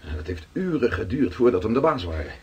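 An actor playing a weathered seafarer in a radio drama, telling his adventures slowly and with awe in a rough voice. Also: on the low side, very animated, darkish tone, muffled recording, heavily acted. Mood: vivid recounting. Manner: slow, dramatic storytelling. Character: weathered Dutch seafarer Gerrit, awed storyteller, around 1600.

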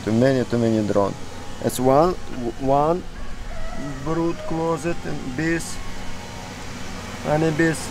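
A middle-aged man from Russia with a Russian accent gives a casual hands-on demonstration in halting, simple English, often repeating words. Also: on the high side. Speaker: beekeeper instructor. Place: outdoors in a wooded yard. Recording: clear steady outdoor background noise.

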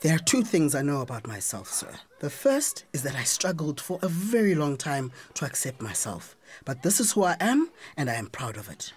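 A South African woman playing a proud, pleading transgender schoolteacher with a husky voice in a radio drama. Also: sits low, very animated, normal speed, a little mellow. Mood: proud, earnest. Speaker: actor.

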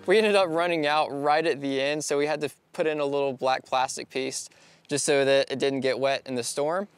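A man's voice: on the high side, smooth, no echo. A young man in his twenties, chatting casually outdoors on a rural property as a DIY vlogger, easygoing and laughing often. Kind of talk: casual DIY vlog talk. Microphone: lapel or on-camera mic.

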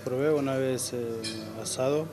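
Slow-talking Indian man, noisy dining room. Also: medium pitch, steady tone. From New Delhi, India. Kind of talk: brief, halting interview answers. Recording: clattering dishes and chatter behind.

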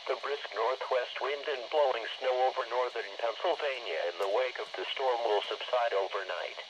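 A man's voice: medium pitch, lo-fi sound. Synthetic male weather radio voice, noisy. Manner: measured, formal, synthetic delivery. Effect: synthetic text-to-speech voice played through a small radio speaker. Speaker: automated weather radio announcer. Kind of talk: scripted weather forecast reading. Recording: noisy camera capture of radio speaker. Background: clear background noise.

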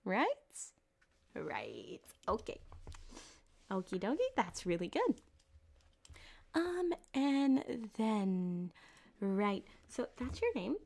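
A woman voicing a flirtatious, sweetly menacing yandere cyberpunk doctor in an ASMR roleplay, soft-spoken and halting, often slipping into a whisper, close on a binaural mic. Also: medium pitch, very animated, gravelly, exaggerated voice. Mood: coy, menacing. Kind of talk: ASMR roleplay addressed to the listener. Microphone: close binaural microphone.

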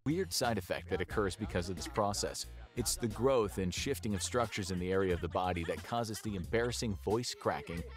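A man giving scripted educational narration in an even, explanatory way, played from a video. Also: sits low, fairly quick, few pauses. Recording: played video with faint music.

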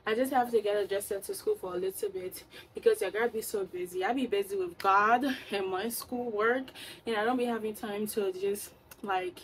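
A woman's voice: medium pitch, moderate pace, a little hiss or hum, low-quality recording. A young woman with a Liberian English accent, chatting upbeat to her phone camera in a college dorm. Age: young adult. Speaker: vlogger. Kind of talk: casual vlog chat. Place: college dorm room and study lounge. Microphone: phone camera at arm's length.

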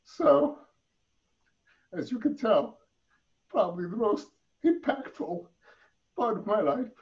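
An older man raised in the Philippines, answering interview questions over a video call from his home, pausing and repeating words as he thinks. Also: sits high, sing-song. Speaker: interview guest. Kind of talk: reflective online interview. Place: home room. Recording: muffled video call audio.

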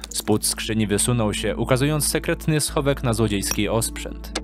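A man narrating a scripted dark fantasy audio drama in a measured storytelling manner. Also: mid-range voice.

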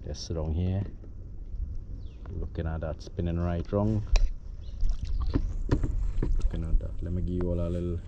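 Deep-voiced Trinidadian man, noisy outdoors. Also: little pitch movement, measured pace, pauses often, lo-fi sound. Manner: laid-back, addressing viewers as folks. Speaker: outdoors vlogger. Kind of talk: casual outdoor vlog commentary. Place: outdoors on the water in a kayak bay. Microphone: handheld action camera. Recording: noisy outdoor action-camera audio.

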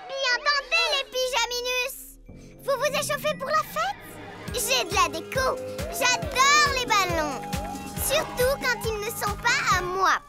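A gloating little girl villain with a very high pitch. She is smug, mocking and theatrical in cartoon style, with loud music behind her. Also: animated, a little slow. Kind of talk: French-dubbed children's cartoon acting.

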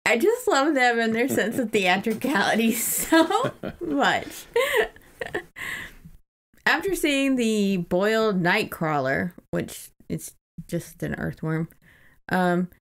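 A woman's voice: medium pitch, big pitch swings, takes it slowly. A woman co-host chatting casually about a book and reading bits aloud, amused and enthusiastic. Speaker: podcast co-host. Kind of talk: casual book discussion with read-aloud passages.

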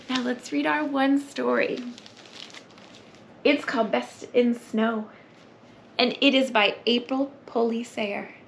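A woman with a fairly high voice leads a story time for young children. She speaks very slowly and expressively, slipping into hushed asides. There is noticeable noise in the room behind her. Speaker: library story time host. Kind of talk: children's story time read-aloud and sing-along. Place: children's library room, indoors.

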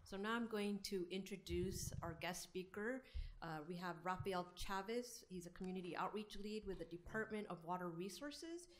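A woman's voice: medium pitch, moderate pace. A woman hosting a semi-formal meeting from a podium microphone, introducing speakers and guiding the room. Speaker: program host introducing speakers. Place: government board chamber, indoors. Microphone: gooseneck podium microphone. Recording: faint room noise on podium mic.

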